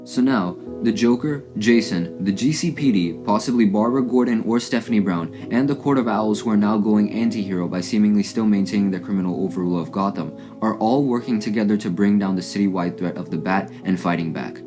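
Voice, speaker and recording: Video essayist: male, low voice, scripted analytical recap delivery, music clearly underneath. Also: fairly quick, hardly any pauses. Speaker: video essayist narrating a fan review.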